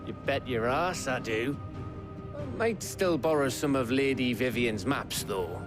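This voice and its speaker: An actor playing a cheeky, loyal scout in a fantasy video game, blunt and colloquial. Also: male voice, mid-range voice, expressive, measured pace, over music, natural voice. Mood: eager, casual. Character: cheeky, loyal, rough-spoken male scout. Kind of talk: dramatic video game acting.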